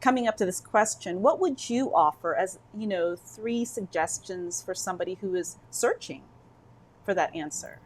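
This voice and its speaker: A woman interviewer asking questions in a slow, deliberate way. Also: mid-range voice.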